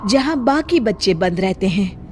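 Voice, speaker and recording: Slow, animated woman storyteller. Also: medium pitch, over loud music. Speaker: story narrator. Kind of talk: expressive scripted children's story narration.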